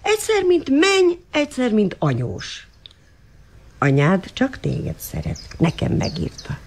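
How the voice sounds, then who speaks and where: A woman's voice: medium pitch, huge pitch swings, a little mellow. An older woman playing a shrewd, loving, plain-spoken grandmother in a radio drama, asking probing questions. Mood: frank, wistful. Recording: noisy 1980 radio drama recording.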